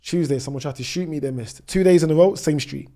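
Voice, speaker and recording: British man with a mellow voice. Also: on the high side, fast talker. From United Kingdom. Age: 30s. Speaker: travel vlogger, podcast guest. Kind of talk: conversational interview storytelling.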